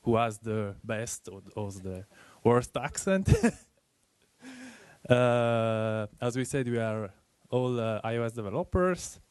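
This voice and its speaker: Italian-accented guy giving a meetup talk, smooth voice, dry close sound, lots of uhs. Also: medium pitch, animated, darkish tone, no room sound. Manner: earnest, halting, many fillers. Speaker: presenter.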